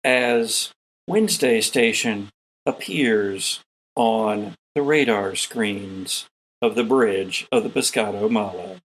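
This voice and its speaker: A man's voice: on the low side, expressive, takes it slowly, fairly bright tone, rough recording. A man narrating a tabletop game as its game master, dramatically setting each scene. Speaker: game master, podcast host.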